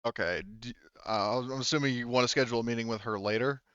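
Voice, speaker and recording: Man, game master, smooth voice, casual self-correcting talk, dry and slightly dull recording. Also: medium pitch, fast, a bit bright, no room sound. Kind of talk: casual tabletop game narration and chat.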